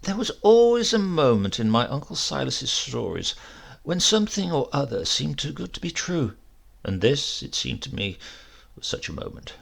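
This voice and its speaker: A man giving an expressive, dramatic narration of a comic short story, relishing the phrasing. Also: medium pitch, normal speed, gravelly. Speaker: audiobook reader.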